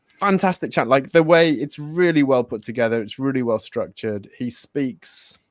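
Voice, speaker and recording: A man's voice: mid-range voice, normal speed, no echo. Easygoing man co-host, dry close sound. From born in Germany, raised in Spain. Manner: laughs often, self-correcting. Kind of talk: casual chat.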